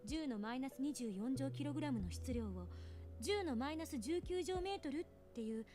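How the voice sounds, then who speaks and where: A young woman scientist with a high, quick voice. She is sharp and bright-minded but easily flustered, in visual novel game style, with music playing clearly behind her. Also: lively intonation. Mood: serious explaining. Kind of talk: Japanese visual novel voice acting. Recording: game audio under background music.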